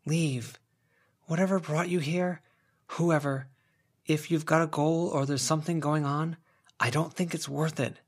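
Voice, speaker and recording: A man voicing a wary, uneasy teenage girl with a guarded, hushed manner in an audiobook. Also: a little high, steady tone, unhurried, husky, voice pushed up. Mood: wary, urgent. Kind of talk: audiobook character voice.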